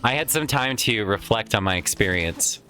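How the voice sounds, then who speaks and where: A man's voice: on the low side, animated, moderate pace, sharp tone, soft background music. A man casually commenting while playing a video game, chatty and rambling with some swearing, his voice tired from a lot of recent use. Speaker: let's play host.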